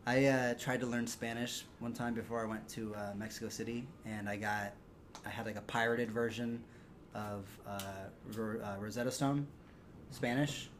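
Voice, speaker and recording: A man's voice: medium pitch, fairly even intonation, moderate pace, a little hiss or hum. A man hosting a comedy podcast, rambling through crude jokes with lots of fillers. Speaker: podcast host. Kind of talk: casual comedic chat.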